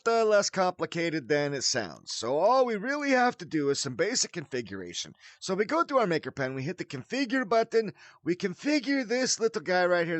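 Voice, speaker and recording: Male tutorial host, high voice with big swoops, showy and mock-formal yet folksy, recorded dry. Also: no room sound. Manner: theatrical, mock-formal wording mixed with folksy slang. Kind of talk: casual spoken game tutorial with showy greeting.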